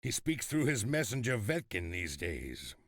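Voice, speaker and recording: A man's voice: lowish voice, very animated, a little slow, fairly bright tone, dry recording, clean studio sound, full character voice. An actor playing a wary, cynical townsman in a video game cutscene. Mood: wary, offhand. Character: wary, cynical town local warning outsiders.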